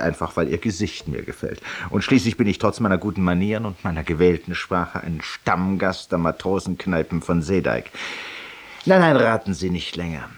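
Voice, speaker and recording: An actor playing an urbane, talkative, self-mocking judge-penitent and tavern regular, with polished, ironic rhetoric in a 1950s German radio drama. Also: male voice, low voice, no echo. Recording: distant-sounding 1950s radio recording.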